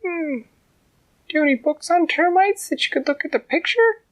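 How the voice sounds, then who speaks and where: Tiny brave female ant, voiced by a man, very high squeaky voice, shouting, earnest, lively storybook reading. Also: moderate pace. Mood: pleading. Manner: loud, shouting to be heard. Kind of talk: bedtime storytelling.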